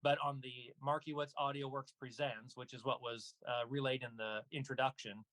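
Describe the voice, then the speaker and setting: Male, medium pitch, interview guest, actor and narrator, casual conversational delivery. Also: flat delivery, moderate pace, fairly bright tone. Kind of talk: casual interview answers about own work.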